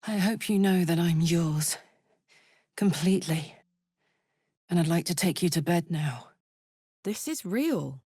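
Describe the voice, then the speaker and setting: A woman's voice: on the low side, animated, measured pace, pauses often, warm tone, slightly rough, no room sound, studio quality. An actress with a British accent playing a brash, big-hearted, battle-hardened warrior woman in a fantasy video game, blunt and earthy in manner. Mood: tender, earnest.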